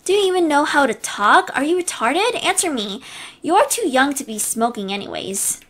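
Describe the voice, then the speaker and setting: A woman voicing a shrill, indignant, entitled middle-aged mother. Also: sing-song, moderate pace, a bit bright.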